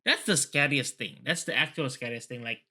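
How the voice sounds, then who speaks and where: A man with a bright, forceful voice at an average pitch hosts a read-along. He reads prose aloud and breaks off into casual commentary. His manner is excitable and digressive. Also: normal speed. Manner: excitable, often laughing and digressing. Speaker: host reading a story aloud with commentary.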